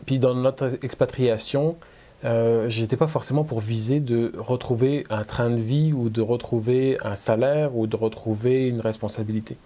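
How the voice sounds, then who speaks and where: A man's voice: mid-range voice, fairly even intonation, moderate pace, warm tone. A middle-aged man from France with a French accent touched by Québécois, talking as an interview guest with many fillers and restarts. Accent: French, slightly Québécois-tinged. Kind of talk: conversational interview.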